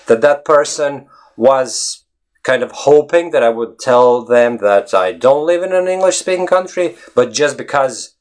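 A man's voice: medium pitch, a little slow. A man from Latvia, a native Latvian speaker with a Latvian accent, talking casually and emphatically to his online audience as an English-learning vlogger. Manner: emphatic, conversational, often checks with "you know what I mean". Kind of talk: casual explanatory talk to viewers.

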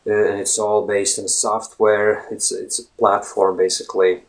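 A man's voice: on the low side, flat delivery, normal speed, low-quality recording. A man with a Latvian accent, a blogger talking casually to his viewers in a rambling way, full of fillers and restarts and checking in with "right?". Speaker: English-learning blogger. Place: his home office.

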